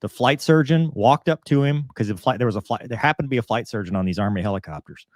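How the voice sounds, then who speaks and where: A man hosting a casual aviation discussion, speaking in a halting, earnest, conversational way. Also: medium pitch, no room sound, studio quality. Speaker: show host and commentator.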